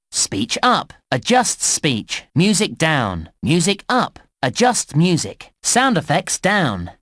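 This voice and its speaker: A man playing an excitable theme park announcer in a children's game, with theatrical, carefully enunciated scripted delivery. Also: on the high side, no room sound, studio quality, heavily acted. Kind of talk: scripted game voice-over, news flashes and menu prompts.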